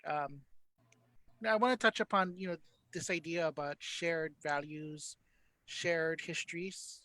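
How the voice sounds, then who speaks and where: A man's voice: high voice, fairly bright tone, dry recording. A man from Hawaii, Hawaiian his first language, hosting a panel discussion in an earnest, thoughtful way with many fillers. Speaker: panel host.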